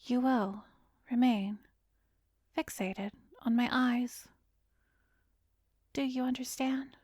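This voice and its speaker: Slow, breathy vampiress hypnotist, acted by a woman. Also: on the high side, steady tone, a little mellow, dry recording, studio quality. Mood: coaxing, commanding. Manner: slow, breathy, commanding, drifting into whispers. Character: arrogant, seductive vampiress hypnotist, smug and cruel. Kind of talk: ASMR roleplay, hypnotic scripted monologue.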